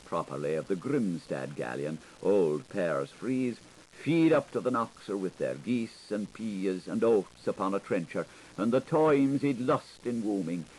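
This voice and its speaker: A man with a low voice narrates a literary audiobook over audible tape hiss. His pitch swings widely as he shifts emphasis, giving the reading a playful, theatrical feel. It sounds like an audiobook taped in the 1980s. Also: a little mellow, low-quality recording.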